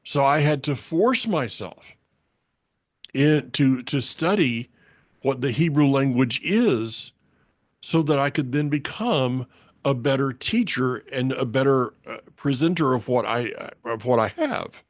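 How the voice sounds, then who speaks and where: A man's voice: mid-range voice, expressive, measured pace, no echo. Folksy Southern man, dry close mic. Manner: folksy, meandering, many restarts. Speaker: podcast host. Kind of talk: casual faith conversation.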